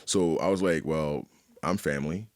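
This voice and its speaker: A man from Haiti with a very low voice talks casually and openly about his life as an interview guest. His delivery is animated and conversational, full of little check-ins with the listener. The sound is dry and close. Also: moderate pace, no room sound. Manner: animated, repeats "you know what I mean". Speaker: podcast guest, artist. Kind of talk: casual, candid interview storytelling.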